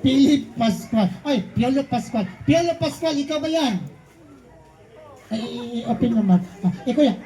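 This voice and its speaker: A woman's voice: sits high, animated, measured pace, poor audio. A woman with a Filipino accent hosting a family puppet show, bantering playfully on stage with coaxing and mock scolding. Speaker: puppet show host, children's entertainer. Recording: live event with loud crowd. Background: audience crowd.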